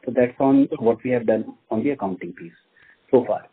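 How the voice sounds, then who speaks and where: An Indian-accented man, a finance executive, answering investor questions in a measured, formal way with repeated words. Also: medium pitch, fairly even intonation, slightly rough. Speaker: company finance executive on an earnings call. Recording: muffled narrow phone-line audio.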